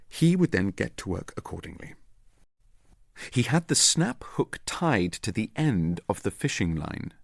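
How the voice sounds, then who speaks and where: A man reading as a self-assured British gentleman agent who recounts a clever trick in an urbane, methodical way. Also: lowish voice, creaky, no room sound, studio quality, full character voice. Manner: urbane, methodical, quietly pleased with himself.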